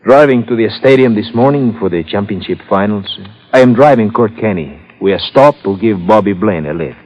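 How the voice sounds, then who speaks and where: Casting: male ex-tennis champ chauffeur, sly and jovial, deepish voice, old radio drama, dulled sound. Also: animated. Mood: sly, insinuating. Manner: chuckling, halting, foreign phrasing. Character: genial, sly, fat South American ex-tennis champion chauffeur. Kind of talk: old-time radio detective drama acting. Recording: muffled old-time radio recording.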